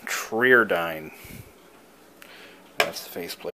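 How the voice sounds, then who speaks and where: A man giving a casual, unscripted show-and-tell as a hobbyist, thinking aloud in a musing, halting way. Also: low-pitched, noisy background. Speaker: hobbyist showing an old device he is restoring.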